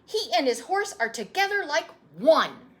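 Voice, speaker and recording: A woman's voice: high-pitched, sing-song, unhurried, pitched above the performer's own voice. A woman voicing an eager, proud young boy with breathless, earnest emphasis in a storybook read-aloud. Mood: thrilled.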